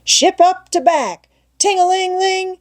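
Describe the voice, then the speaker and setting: A woman's voice: very high voice, slow pace, pitched above the performer's own voice. A woman voicing a pompous, playful boy who pretends to be a steamboat captain, barking orders and singing out bell noises, in a storybook.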